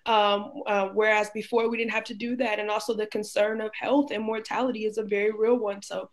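A young woman moderating a panel discussion on a video call, summarizing each answer in a measured way. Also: medium pitch, little pitch movement, slight background noise. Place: home room, indoors.

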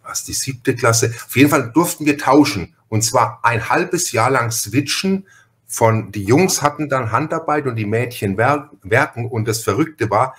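A man's voice: mid-range voice, lively intonation, unhurried, a bit bright. A middle-aged man, chatting casually and emphatically as a podcast host. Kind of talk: casual chat.

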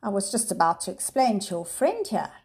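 A woman reading a story aloud, voicing a menacing male professor who sounds pleasant but threatens and shouts. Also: medium pitch, sing-song, fairly quick. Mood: falsely pleasant. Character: sinister male professor villain, smooth then menacing.

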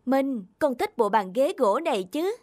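An actress playing a gentle, patient young mother in a children's cartoon, tender and encouraging. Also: high voice, big pitch swings, a little slow, no echo, studio quality. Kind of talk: Vietnamese children's cartoon dubbing.

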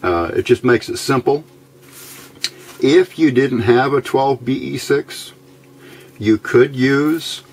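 A man giving a casual technical tutorial in a plain-spoken, methodical way, with hesitant pauses, as an electronics hobbyist at a workbench. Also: medium pitch, a little mellow, breathy edge. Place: indoors at a workbench.

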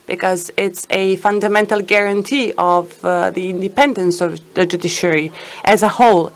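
A woman's voice: medium pitch, poor audio. A woman giving live simultaneous interpretation of a conference talk, hesitant with fillers and an uneven pace.

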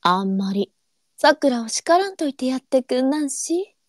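Woman acting a gentle, highish-voiced senior courtesan. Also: very animated, a little slow, dry recording, clean studio sound. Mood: gentle, indulgent. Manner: elegant old brothel courtesan speech. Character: gentle, teasing senior courtesan, indulgent big sister.

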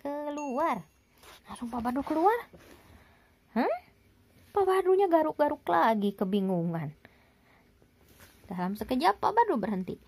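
A young woman reading a picture book expressively to a child, pausing to ask questions. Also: sits high, big pitch swings, darkish tone, no room sound. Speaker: parent reading aloud to a child. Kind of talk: animated picture-book read-aloud with asides to a child.

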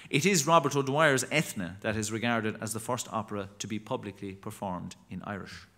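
A man in his thirties reads a formal lecture from a lectern microphone in a chapel. His voice is bright and clear, his delivery measured, with the odd restart on a word. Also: on the low side. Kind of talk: reading a prepared academic talk.

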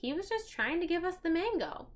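A woman's voice: very high voice, sing-song, brisk, voice pushed up. A woman voicing an eager, curious young girl who loves animals, in a children's storybook reading. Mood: cheerful.

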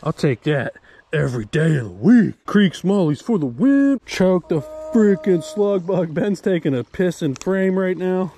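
A man chatting casually and excitably in slang as he hosts a fishing vlog outdoors on an action camera. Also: high voice, a little slow. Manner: excitable, slangy, says dude and man often. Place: outdoors at a wooded creek. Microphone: action camera worn or held by him. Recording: outdoor recording with background noise.

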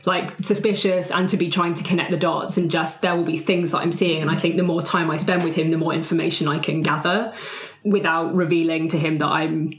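A woman's voice: sits low, fairly even intonation, a little fast, slight background noise. A woman playing a tabletop roleplay game, chatting casually about her character. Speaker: roleplay player. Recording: roomy, echoey sound.

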